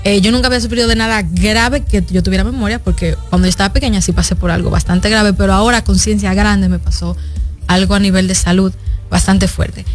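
Young dominican woman chatting in an interview, earnest n reflective, medium pitch, faint music under her. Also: steady tone, fast talker. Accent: Dominican Spanish. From Dominican Republic. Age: 20s. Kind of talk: conversational radio interview.

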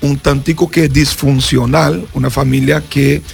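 A man's voice: medium pitch, fairly even intonation, measured pace, gravelly, faint music behind. A Brazilian man, a pastor and native Portuguese speaker, giving an earnest personal testimony in Spanish as a guest on a radio interview. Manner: earnest storyteller, acts out voices in his story.

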